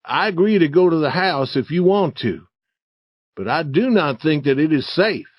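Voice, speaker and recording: Guy doing a nervous superstitious storybook kid, voice pushed up higher, earnest, bit muffled. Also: sing-song, normal speed, dry recording. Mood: earnest unease. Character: anxious, superstitious young boy in a storybook.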